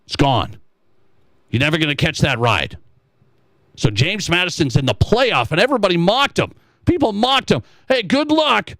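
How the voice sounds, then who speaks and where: A man's voice: high-pitched, very animated, normal speed, fairly bright tone, no echo. An American man from Montana hosting sports talk radio, giving emphatic opinions and acting out mocking objections. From Montana, United States.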